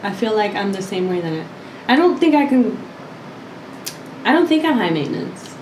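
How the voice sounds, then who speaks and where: A woman's voice: mid-range voice, sing-song, normal speed, a little mellow, slight background noise. Giggly woman in her twenties, roomy echo. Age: 20s. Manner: giggly, playful, casual slang. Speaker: YouTube host. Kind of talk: casual chat. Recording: roomy indoor echo with faint ambience.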